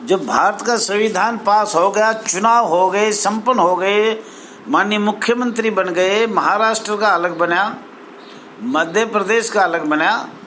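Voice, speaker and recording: A man giving a formal spoken appeal as an association spokesman, in a deliberate, halting, emphatic way, phrase by phrase. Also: high voice, bright, forceful tone, slight background noise. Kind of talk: formal address to camera, appeal.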